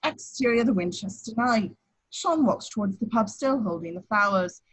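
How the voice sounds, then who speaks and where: A woman's voice: medium pitch, expressive, moderate pace, husky, poor audio. A woman reading out screenplay stage directions as scripted narration in a table read. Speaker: action description reader.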